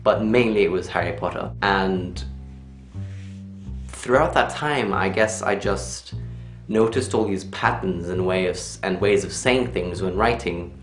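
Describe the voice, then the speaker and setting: A young man chatting casually in a personal vlog, telling things in an animated way. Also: low-pitched, measured pace. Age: young adult. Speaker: vlogger. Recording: roomy echo, music behind.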